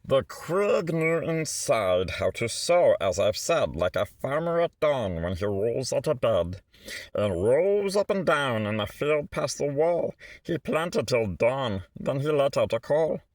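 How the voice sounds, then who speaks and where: Male storybook reader for rhyming picture book: theatrical, lively pitch swings, measured pace, rhythmic phrasing. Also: no echo. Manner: theatrical, rhythmic delivery with stretched words and asides. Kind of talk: expressive read-aloud of a rhyming children's picture book.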